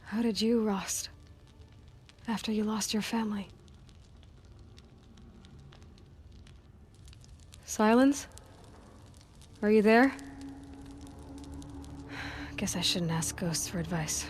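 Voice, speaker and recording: A woman's voice: medium pitch, takes it slowly, long pauses, faint music behind, close to an everyday voice. An actress playing a resolute young female hunter in a video game, direct and guarded. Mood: wistful. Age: young adult. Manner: direct, plainspoken, serious. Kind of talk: video game cutscene acting.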